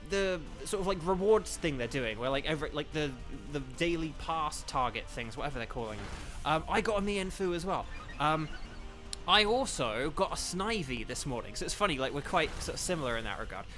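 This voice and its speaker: Casual game streamer, young man, bright and a touch high, chatty and rambling. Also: animated, moderate pace, faint music behind. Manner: chatty, rambling with many fillers, reads and answers chat. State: slightly croaky from eating. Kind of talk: casual gaming livestream chat.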